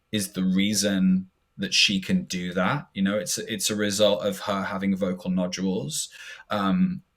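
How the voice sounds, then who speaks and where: A man, co-hosting a podcast and explaining things in a chatty way with hesitant fillers. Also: on the low side. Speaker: podcast co-host. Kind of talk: casual podcast commentary.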